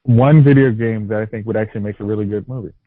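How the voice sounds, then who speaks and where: A man on a movie podcast panel, pitching ideas hesitantly with lots of restarts and fillers. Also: fairly even intonation, quick pace, no echo. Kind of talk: casual podcast chat. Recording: dry, tinny phone-like sound.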